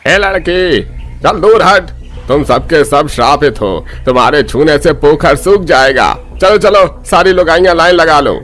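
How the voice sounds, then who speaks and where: Man playing haughty high-pitched scolding watchman. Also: lively intonation, measured pace, fairly bright tone. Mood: scornful. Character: haughty scornful male village pond watchman, bullying.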